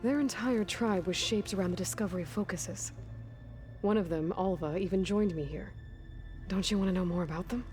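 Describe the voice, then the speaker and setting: Mellow-voiced, dryly sardonic young warrior woman played by an actress. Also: mid-range voice, fairly quick, soft background music, natural voice. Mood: earnest. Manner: dry, sardonic. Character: guarded, determined young woman warrior with dry wit. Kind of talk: video game acting.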